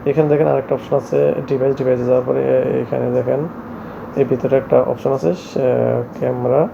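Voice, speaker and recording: A man presenting a hands-on tech tutorial in a halting, repetitive, step-by-step way. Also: medium pitch, fairly even intonation, creaky, lo-fi sound, background noise. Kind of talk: hands-on product tutorial narration. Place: shop, indoors.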